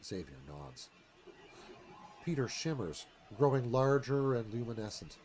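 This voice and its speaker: A man's voice: mid-range voice, big pitch swings, measured pace, a little mellow, slight background noise. A man narrating stage directions in a dramatic, storytelling screenplay read.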